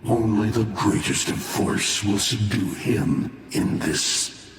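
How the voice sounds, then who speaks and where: A man's voice: fairly low pitch, slow. A male actor playing a bitter, ancient fallen warlord in a video game, mocking and grandiose, with theatrical, menacing delivery. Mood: grave, ominous. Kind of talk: dramatic video game voice acting. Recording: cavernous echoing reverb, faint ambient noise.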